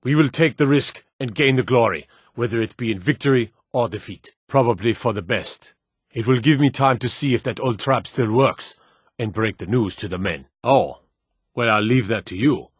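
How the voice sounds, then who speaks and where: A man voicing a stern, loyal guard commander in a fantasy video game, with a gruff, weighty, formal delivery. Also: medium pitch, moderate pace, no room sound, clean studio sound, natural voice. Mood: measured, resolute. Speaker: voice actor for game guard lines.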